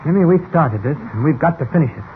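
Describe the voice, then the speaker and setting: A man's voice: a little high, animated, lightly acted. Man playing a decisive reporter, tinny old radio. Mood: determined. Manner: brisk, authoritative, reassuring. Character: calm, decisive newspaper reporter, grown man. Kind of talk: 1940s radio serial acting. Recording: tinny, band-limited old radio with hiss.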